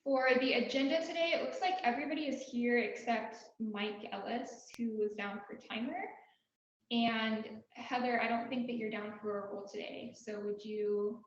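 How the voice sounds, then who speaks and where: A soft-spoken woman opening a club meeting as its president, in a relaxed, friendly way. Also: on the high side, moderate pace, slight background noise. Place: meeting room, in person. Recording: very echoey room, dull sound.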